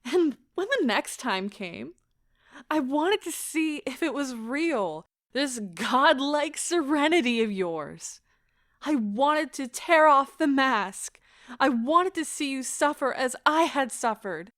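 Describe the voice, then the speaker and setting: A woman playing an anguished, pleading lover in a stage-style audio drama, with a theatrical, impassioned and deliberate delivery. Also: high voice, animated, a bit bright, no echo, studio quality, heavily acted. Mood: anguished, accusing. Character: anguished, pleading woman leaving a broken love affair.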